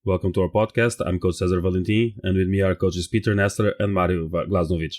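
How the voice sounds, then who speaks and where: A man's voice: low voice, steady tone, fast talker, darkish tone. A man hosting a podcast, welcoming and interviewing a guest over a video call. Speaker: podcast host. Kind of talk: casual podcast chat.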